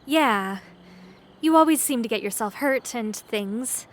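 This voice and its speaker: A woman's voice: a little high, expressive, a little slow, a little hiss or hum. A woman playing a sweet, awkward, anxious young woman in a comedy horror audio drama, hesitant and apologetic. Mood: awkwardly evasive.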